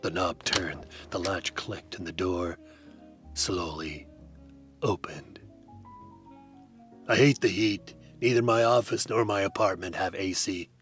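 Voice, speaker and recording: Low-voiced man, dry deadpan noir narration, soft music behind. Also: slow, pauses often. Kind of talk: hardboiled detective noir narration.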